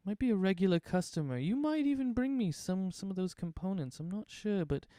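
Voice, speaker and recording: A man's voice: high-pitched, sing-song, a little fast, warm tone, no room sound, clean studio sound, pitched above the performer's own voice. A man voicing an eccentric, forgetful male potion seller in a tabletop game, talking in a chirpy, theatrical way.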